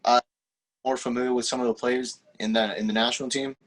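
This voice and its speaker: A teenage man, a young footballer whose first language is Spanish, answering interview questions in a relaxed, halting way with many fillers and restarts. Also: mid-range voice, poor audio. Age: teens. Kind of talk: casual interview.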